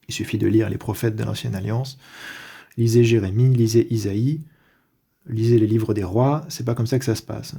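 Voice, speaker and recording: A man giving an unscripted lecture on a church document, deliberate and with frequent hesitations and restarts. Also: medium pitch, vocal fry, dark tone, husky. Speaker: religious commentator.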